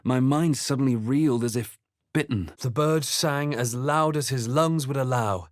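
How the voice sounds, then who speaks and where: An actor playing a serious young adventurer hero in a video game, telling events with deliberate, dramatic restraint. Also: male voice, mid-range voice, no echo, clean studio sound, lightly acted. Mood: grave, measured. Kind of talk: video game voice lines and past-tense storybook narration.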